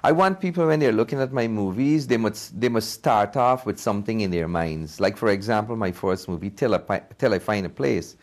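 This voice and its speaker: A man's voice: on the low side, fairly quick, hardly any pauses. A man being interviewed as a filmmaker, answering earnestly and conversationally, repeating phrases for emphasis. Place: TV studio.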